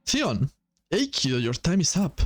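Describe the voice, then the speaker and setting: A man's voice: fairly high pitch, big pitch swings, a little slow, over music. A man reading visual novel dialogue as a menacing, blunt hunter taunting a cornered runaway. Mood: taunting, threatening.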